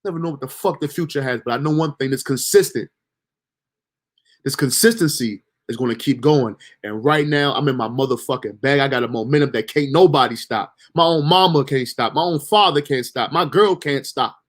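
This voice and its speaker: A man's voice: medium pitch, normal speed. A young man hosting a livestream, talking loosely in slang and swearing as he gives himself a pep talk. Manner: loose, slangy, motivational self-talk with profanity. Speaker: livestream host. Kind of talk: casual livestream monologue.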